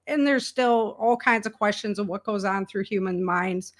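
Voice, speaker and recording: An American woman chatting casually as an interview guest, telling stories in an animated way and acting out bits of dialogue. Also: medium pitch, normal speed. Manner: animated storytelling, quoting imagined dialogue. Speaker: guest, author.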